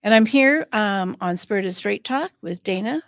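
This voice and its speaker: Creaky-voiced woman hosting a podcast. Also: medium pitch, animated, normal speed, no room sound, clean studio sound. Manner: chatty, with frequent fillers and restarts. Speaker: podcast host and psychic medium. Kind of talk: casual podcast chat.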